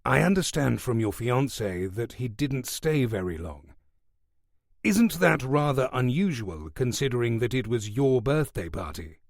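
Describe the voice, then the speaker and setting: Narrator as a calm male detective: level, matter-of-fact. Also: medium pitch, a little slow, gravelly, no echo, studio quality, full character voice.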